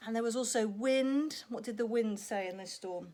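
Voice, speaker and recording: A woman telling a story to young children in an animated, expressive way, prompting with questions and acting out sound effects. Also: on the high side, normal speed, noisy background.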